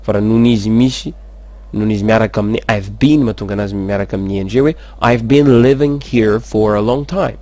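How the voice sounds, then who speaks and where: Brief: male English tutor, lowish voice, slow drills then brisk explaining. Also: warm tone, dry recording. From Madagascar. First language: Malagasy. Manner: slow, careful model sentences between fast explanations. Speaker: English teacher. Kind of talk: language lesson, explaining in Malagasy and French.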